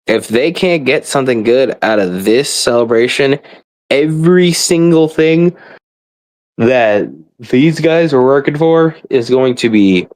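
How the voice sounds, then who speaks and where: A man's voice: mid-range voice, no echo, rough recording. A young man on a casual, rambling gamer rant, exasperated, blunt and sweary.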